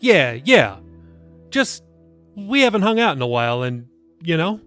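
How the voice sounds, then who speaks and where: A man voicing a warm, teasing middle-aged pastor and father in an audiobook, upbeat and coaxing. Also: a little high, sing-song, unhurried, soft background music, pitched above the performer's own voice. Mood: wistful, coaxing. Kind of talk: audiobook character dialogue.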